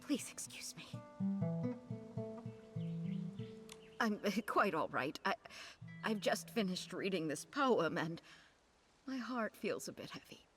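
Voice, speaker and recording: A woman's voice: medium pitch, sing-song, measured pace. An actress playing a wistful, easily flustered young lady in a video game, speaking in a melancholy, hesitant, dramatic way. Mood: flustered, sad. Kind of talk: voiced video game cutscene dialogue. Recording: faint game music underneath.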